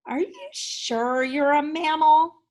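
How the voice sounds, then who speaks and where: Woman voicing a high, earnest little mouse. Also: slow pace, darkish tone. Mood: doubtful. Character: small, dainty male mouse, proud and earnest.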